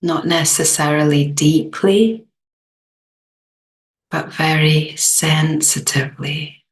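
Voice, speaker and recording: A woman leading a guided meditation in a gentle, unhurried way, leaving long pauses between short phrases. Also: low voice, fairly even intonation.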